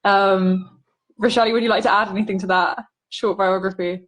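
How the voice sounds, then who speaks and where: A woman's voice: mid-range voice, fairly even intonation, moderate pace. A woman hosting an interview, introducing her guest and asking questions in a friendly way with frequent ums. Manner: friendly, uses filler um often.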